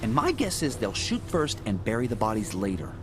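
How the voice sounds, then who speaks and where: An actor playing a chatty, jittery, defensive forensic investigator in a video game, excitable and rambling with hesitations. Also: male voice, on the low side, brisk, over music. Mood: flustered. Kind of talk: video game cutscene acting.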